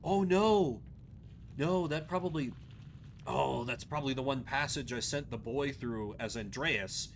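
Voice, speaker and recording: A man's voice: medium pitch, a little slow, slight background noise. A man giving casual commentary as he streams his playthrough of a game.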